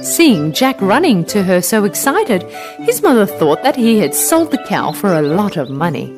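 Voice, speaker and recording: A woman tells a scripted children's story in a highly animated voice that rises and falls widely. She narrates as a storyteller for language learners. Music plays clearly behind her. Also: moderate pace.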